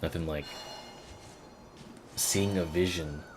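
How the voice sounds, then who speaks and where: A man's voice: deep voice, fairly even intonation, takes it slowly, background noise. A man giving casual, profane and sardonic live commentary as he plays through a video game and reads bits aloud. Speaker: game streamer.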